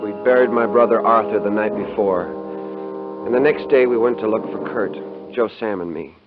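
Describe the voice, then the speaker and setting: An actor playing a somber rancher, narrating a tragedy in a grave, measured, haunted way in 1950s radio style. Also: male voice, on the low side, lightly acted. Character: somber rancher narrating his brothers' deaths. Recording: thin phone-like vintage radio recording. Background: dramatic orchestral music.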